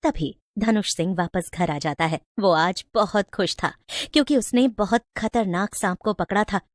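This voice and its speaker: A woman's voice: medium pitch, normal speed, no room sound. A woman narrates a scripted moral story. She works as the storyteller, and her voice is recorded very dry and close.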